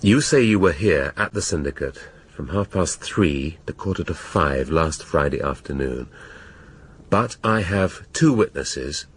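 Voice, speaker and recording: An English actor playing a middle-aged police inspector in a radio drama, shrewd and dry, speaking deliberately with authority. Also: male voice, rumbling low, expressive, husky, exaggerated voice. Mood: probing, formal. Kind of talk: naturalistic radio drama acting.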